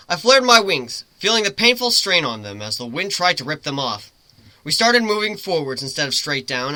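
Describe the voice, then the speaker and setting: A man narrates fiction in a dramatic first-person style. His voice is fairly high and very bright, with animated intonation. The sound is close and dry. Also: no room sound, rough recording. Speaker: story reader.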